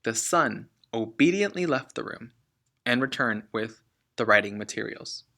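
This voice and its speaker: A man reading a children's book aloud like a teacher, expressively and with deliberate emphasis on key words. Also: mid-range voice, lively intonation, creaky, bright, forceful tone.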